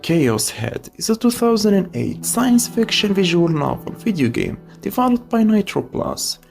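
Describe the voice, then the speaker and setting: A man's voice: high-pitched, big pitch swings, moderate pace, gravelly, soft background music. A man narrating a scripted video essay, giving opinionated reviews of games.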